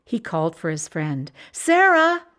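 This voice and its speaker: A woman narrating a scripted children's storybook with expressive, clear phrasing. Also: fairly low pitch, normal speed.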